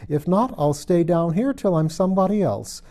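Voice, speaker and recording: A bewildered little storybook girl, acted out by a man, pitched up high above his narration. The voice is prim and exclamatory, fretting and feeling sorry for herself as she chatters away. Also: expressive, moderate pace, warm tone. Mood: tearful, stubborn. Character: bewildered young girl, chatty, fretful and self-pitying. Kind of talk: storybook character voice.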